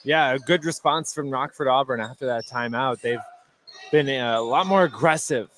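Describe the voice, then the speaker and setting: A man's voice: medium pitch, normal speed, a bit bright, a little hiss or hum. A man working as color commentator on a live basketball game, reacting to plays in hesitant, conversational phrasing.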